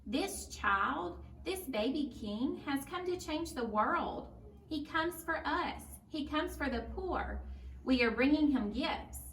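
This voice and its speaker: Woman as an earnest high-voiced young boy. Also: lively intonation, slow, a bit bright, heavily acted. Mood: earnest, urging. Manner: earnest, urging. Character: earnest, excited young boy leading a camel. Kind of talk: children's storybook character voice. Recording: roomy, distant mic, faint noise.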